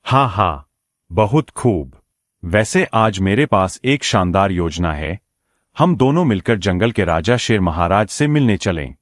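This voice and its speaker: A man's voice: low voice, animated, unhurried, no room sound, studio quality. A man voicing a sly, scheming wolf in a cartoon moral story, oily and mock-friendly in a theatrical way. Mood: falsely friendly. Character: sly scheming male wolf, falsely friendly, muttering plots.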